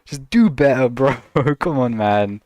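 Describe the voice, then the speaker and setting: A man's voice: mid-range voice, expressive, a little slow, darkish tone, no echo. A man casually reacting to videos on a stream, laughing a lot and teasing in a slangy, joking way.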